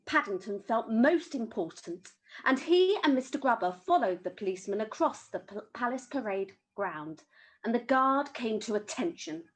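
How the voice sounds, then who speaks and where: A woman's voice: fairly high pitch, lively intonation, normal speed, poor audio. A woman reading a children's story aloud as an amateur storyteller.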